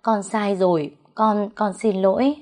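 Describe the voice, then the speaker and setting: A woman's voice: mid-range voice, moderate pace, darkish tone, close to an everyday voice. A woman voicing a scheming young pregnant woman who whines, stammers and pleads tearfully, in a story narration. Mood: pleading. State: crying.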